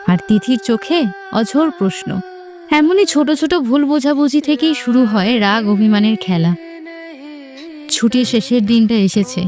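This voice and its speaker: Woman storyteller, fairly high voice, scripted love story narration, soft music under it.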